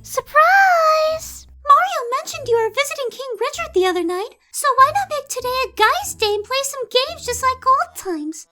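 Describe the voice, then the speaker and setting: A woman's voice: very high-pitched, big pitch swings, normal speed, few pauses, loud music behind the voice. A woman playing a sweet, bubbly, gracious young princess in a cartoon comic dub, sugary, polite and girlish.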